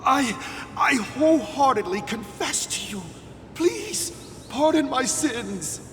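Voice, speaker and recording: A wretched, guilt-ridden fugitive in a dramatic game scene. His high voice drags and stammers as he pleads, echoing in a long hall reverb. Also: animated, slow, faint music behind, heavily acted. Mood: pleading, nervous. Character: desperate, guilt-ridden fugitive father begging for forgiveness. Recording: long hall reverb, faint rumbling noise.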